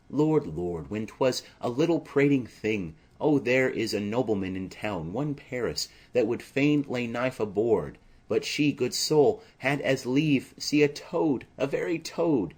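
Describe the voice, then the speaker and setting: Audiobook drama: fussy, rambling older servant woman, indignant and exclaiming, slightly husky, unhurried delivery man performs. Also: medium pitch, sing-song. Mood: chatty, fussy. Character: fussy, chattering older female nurse servant.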